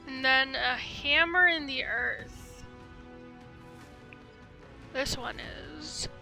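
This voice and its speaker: A woman's voice: high-pitched, extremely slow, creaky, bright, forceful tone. A woman doing casual livestream game commentary, rambling and thinking aloud in a tentative way. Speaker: game streamer. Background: game music.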